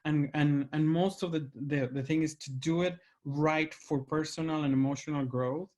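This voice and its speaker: A man's voice: on the high side, steady tone, moderate pace, a little mellow. A man with a Mexican accent, hosting a conversation, speaking earnestly and searching for his words. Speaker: host. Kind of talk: reflective conversation.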